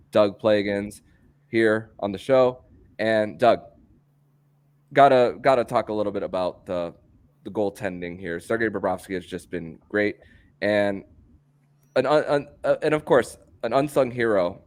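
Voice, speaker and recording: A man hosting a sports podcast in a conversational style, halting often and repeating words as he goes. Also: fairly low pitch.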